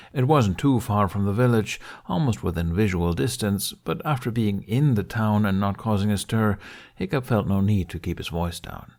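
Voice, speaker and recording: A man's voice: on the low side, gravelly, darkish tone. A man reading scripted story narration as an audiobook narrator.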